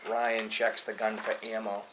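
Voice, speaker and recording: A man's voice: fairly low pitch, completely flat delivery, normal speed, noisy background. A man reading stage directions aloud as narration at a film table read.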